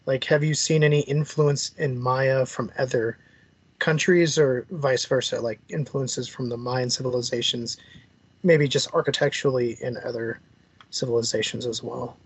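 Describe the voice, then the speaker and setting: Male interviewer, medium pitch, conversational and a little tentative in phrasing. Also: fairly even intonation, normal speed, breathy edge, poor audio. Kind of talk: casual interview questioning.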